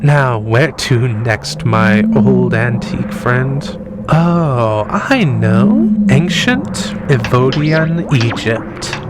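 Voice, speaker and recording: A man's voice: medium pitch, lively intonation, drawn-out words, heavy background noise. A man playing a smug, mocking time-travelling professor villain in an audio drama, condescending and amused, with a genteel manner. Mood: smug, musing.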